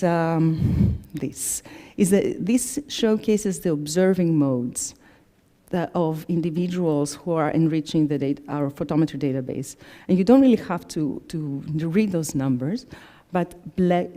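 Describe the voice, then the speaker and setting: A middle-aged woman from Greece, whose first language is Greek, giving a measured presentation to members with frequent fillers and self-corrections. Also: on the low side, warm tone. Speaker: executive director presenting annual report.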